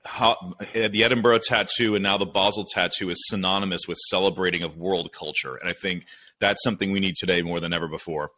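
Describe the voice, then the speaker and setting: A man's voice: low-pitched, fairly even intonation, fairly quick, pushed and bright. A man from the United States hosting an interview podcast, asking questions in a friendly, enthusiastic way. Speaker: podcast host. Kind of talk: casual interview chat.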